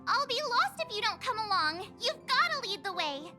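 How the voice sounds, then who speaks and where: A bubbly teenage girl adventurer from a video game. Her girlish voice is extremely high and swings about in lively, coaxing lines over music. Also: moderate pace, exaggerated voice. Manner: perky, pleading, girlish. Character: bubbly, eager teenage girl space traveler.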